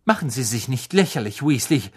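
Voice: mid-range voice, sing-song, brisk, darkish tone, husky. A reader voicing a strict older female professor in a storybook, scolding and indignant. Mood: stern.

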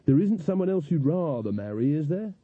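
A man's voice: a little high, big pitch swings, a little fast, slightly rough, pitched above the performer's own voice. A man voicing an earnest, encouraging fairy godmother, a woman who is insistent but easily flustered, in an audiobook story. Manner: insistent, hesitant when challenged. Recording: echoey, narrow phone-like audio.

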